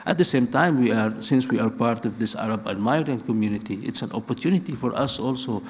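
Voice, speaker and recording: A man's voice: mid-range voice, fast talker, a little hiss or hum. A middle-aged man who grew up in Lebanon and speaks natively, giving an earnest public talk with frequent restarts and repeated phrases. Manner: earnest, building points through repetition, frequent restarts.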